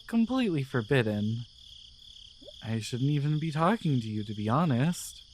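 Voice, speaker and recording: A man voicing a devoted, gentle guardian angel in an intimate romantic ASMR roleplay, speaking softly with tender, hesitant care. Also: mid-range voice, slight background noise. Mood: quietly defiant. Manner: soft, tender, hesitant, soothing. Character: devoted, gentle male guardian angel in love with their human.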